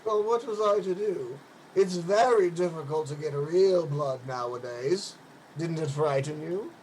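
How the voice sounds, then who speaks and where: A man's voice: on the high side, a little slow, a little mellow, a little hiss or hum, natural voice, low-quality recording. A man playing a proud, mournful, centuries-old aristocratic ghost in a radio play, with a pompous, fussy, theatrical delivery. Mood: fussy, haughty.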